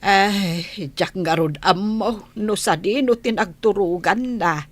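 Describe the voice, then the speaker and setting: Casting: actress as anxious protective mom, middle-aged, Ilocano accent, fretful and unhurried, radio drama. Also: female voice, medium pitch. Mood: worried, pleading. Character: anxious, pleading mother shielding her grown daughter.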